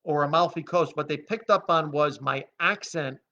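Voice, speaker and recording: A man's voice: a little high, moderate pace, pushed and bright. An American man who grew up speaking Italian gives off-the-cuff news commentary in an opinionated, emphatic, conversational way. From United States, raised by Italian immigrants. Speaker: host of a commentary vlog. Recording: dull lo-fi audio.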